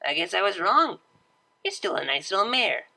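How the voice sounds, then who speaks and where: A woman's voice: medium pitch, very animated, normal speed, vocal fry, sharp tone, voice pushed up, full character voice. A woman voicing a brash, laid-back young unicorn mare DJ in a pony story, with a casual, slangy, offhand manner. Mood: cheeky, teasing.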